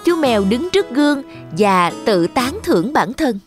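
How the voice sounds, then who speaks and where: A woman's voice: fairly high pitch, big pitch swings, moderate pace. A woman narrator reading a scripted fairy tale for children. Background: music.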